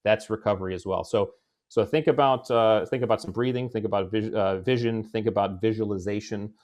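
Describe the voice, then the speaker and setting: A man in his forties, a guest on a podcast, speaking calmly and reflectively, with frequent fillers. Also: lowish voice, fairly quick. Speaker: guest, former special forces. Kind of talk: conversational interview.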